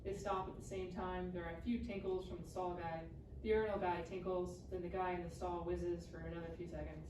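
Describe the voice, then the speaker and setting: A woman with a slightly low voice narrates a screenplay at a live table read. She sounds set back from the mic in an echoey room, with clear background noise. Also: flat delivery, brisk, distant mic. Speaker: stage-directions reader.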